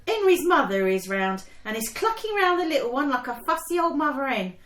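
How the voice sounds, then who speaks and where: Actress as a high-voiced, chatty wartime young woman. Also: very animated, brisk, a bit bright. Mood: bright, gossipy. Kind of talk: period radio drama acting. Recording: echoey room.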